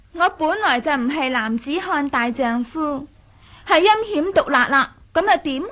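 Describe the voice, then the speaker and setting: A woman's voice: high-pitched, animated, a little slow, a bit bright. An actress playing a sly, haughty young noblewoman villain in a Cantonese radio drama, mocking and imperious. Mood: defiant.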